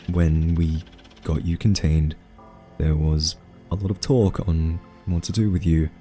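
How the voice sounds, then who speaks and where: A man performs a male scientist with a deep, warm, softened voice over faint music. He is earnest and socially awkward, feeling his way through sentences with nervous hesitations. The delivery is gentle, soft-spoken ASMR roleplay. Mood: grave, earnest. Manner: hesitant, halting, full of nervous ums.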